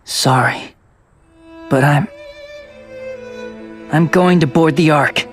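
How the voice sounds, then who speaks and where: An actor playing a sincere, determined young soldier in a video game, speaking earnestly and a little haltingly. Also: male voice, a little high, soft background music. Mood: torn, determined. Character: earnest young male soldier devoted to his family. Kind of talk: dubbed video game cutscene acting.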